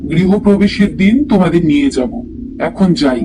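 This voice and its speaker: Guy acting mysterious bossy middle-aged gent in an audio drama, highish voice, music behind. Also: expressive, normal speed, lightly acted, low-quality recording. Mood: imperious, irritated. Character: mysterious middle-aged gentleman, talkative and short-tempered. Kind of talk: Bengali audio story acting.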